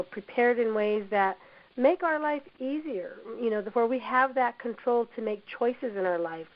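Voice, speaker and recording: A woman with a hoarse voice from a cold, presenting a workplace training webinar in an encouraging manner, often saying "you know". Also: mid-range voice. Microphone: computer mic on a webinar call. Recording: dull lo-fi web audio.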